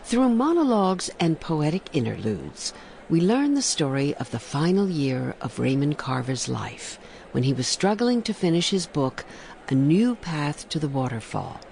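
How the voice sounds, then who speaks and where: Casting: announcer for audio theatre intros, gravelly edge, animated phrasing, polished formal read, slight background ambience. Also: medium pitch, moderate pace, slight background noise.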